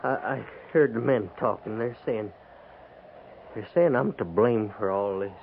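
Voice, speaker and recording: An American actor playing an anxious, guilt-ridden cavalry private in a 1950s radio drama, halting, timid and deferential. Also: male voice, fairly low pitch, creaky. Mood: nervous, guilty. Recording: tinny, band-limited, noisy 1950s radio recording.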